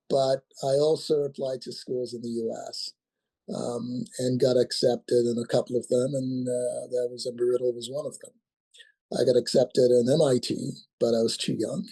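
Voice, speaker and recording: A man of about sixty, from Nigeria, answering as a guest in a relaxed interview. His voice is husky and mellow. He hesitates a lot, stopping and restarting his phrases. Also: medium pitch, normal speed, poor audio. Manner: hesitant, many ums and restarts. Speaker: guest, company founder. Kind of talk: casual interview talk.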